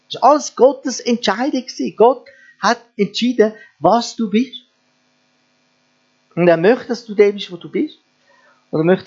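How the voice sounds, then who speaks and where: A man's voice: sits high, darkish tone. A man preaching a sermon earnestly, asking his listeners rhetorical questions. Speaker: pastor. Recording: dull lo-fi sound with mains hum.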